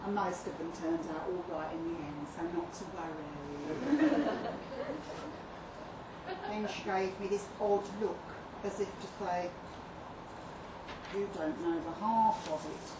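A woman playing an earnest, well-spoken primary school teacher, measured and thoughtful, recorded at a live reading. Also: fairly low pitch, pitched below the performer's own voice. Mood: earnest, reflective. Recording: distant echoey live venue, noisy room.

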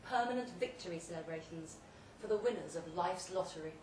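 A woman reads a short story aloud at a live event, delivering it theatrically in measured, deliberate phrases. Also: on the low side, darkish tone. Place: pub event room, read aloud live. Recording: roomy, distant live recording with faint noise.

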